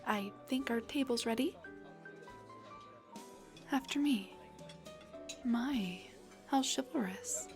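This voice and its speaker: Woman voicing a nervous dullahan girlfriend, audio roleplay, rambling and sweetly awkward, unhurried pace, soft music behind. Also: on the high side. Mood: shy, pleased. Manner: flustered, rambling, hesitant, soft-spoken. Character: shy, nervous female dullahan on a blind date. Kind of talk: intimate scripted audio roleplay addressed to the listener.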